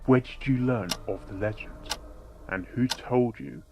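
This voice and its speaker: A man voicing an earnest, probing pony doctor who questions deliberately in a dramatic fan fiction reading. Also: mid-range voice, lo-fi sound, voice pushed up, close to an everyday voice. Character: earnest, probing stallion doctor investigating a dark case. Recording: noisy home recording.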